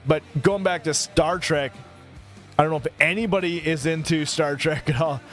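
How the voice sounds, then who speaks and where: Man, thirties, live streamer, rambling casual chat, slightly high voice, faint music behind. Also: moderate pace. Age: 30s. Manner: rambling, relaxed, thanks viewers by name.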